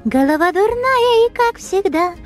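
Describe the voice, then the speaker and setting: A woman's voice: very high-pitched, expressive, a little slow, soft background music, pitched above the performer's own voice. A woman voicing a pampered, chatty young female cat with dainty, fussy opinions about treats.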